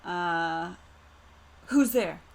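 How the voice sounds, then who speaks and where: A woman's voice: on the low side, extremely slow, gravelly, rough recording. A woman playing a tabletop roleplaying game, chatting casually in a playful, giggly manner.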